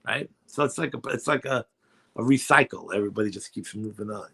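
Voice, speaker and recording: A man's voice: lowish voice, brisk, gravelly, bright, forceful tone, slightly rough. Chatty New York man hosting. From Brooklyn, New York. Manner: rambling, chatty, many fillers. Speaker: radio show host. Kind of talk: casual chat.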